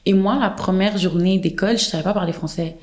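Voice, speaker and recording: Fast-talking young woman, echoey room. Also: medium pitch, fairly even intonation. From Quebec, Canada. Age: 20s. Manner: mixes English words into her French. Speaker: podcast guest. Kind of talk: casual conversational storytelling.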